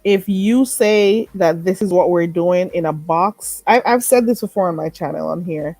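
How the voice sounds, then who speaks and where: A woman's voice: lowish voice, normal speed, darkish tone, dry recording. Chatty woman with a stuffy nose. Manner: chatty, emphatic, repeats herself. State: stuffy, a little nasal. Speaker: live stream host. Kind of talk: late night live chat with viewers.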